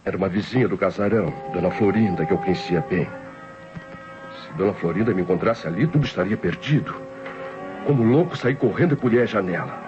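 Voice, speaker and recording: Scheming Brazilian radio-drama narrator, muffled. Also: male voice, deep voice, lively intonation, brisk. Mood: tense, scheming. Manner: theatrical, tense storytelling. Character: scheming, nervous nephew narrating his crime story. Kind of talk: dramatic first-person radio narration, old-time acting. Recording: dull old radio recording with music.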